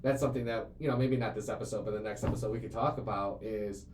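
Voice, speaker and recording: A man's voice: on the low side, fairly even intonation, fast. A man in his late twenties, hosting a casual business podcast, talking earnestly in long rambling runs with many fillers and repeated words. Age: 20s. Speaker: CEO and show host. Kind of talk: casual conversational podcast chat. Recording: roomy echo, faint ambient noise.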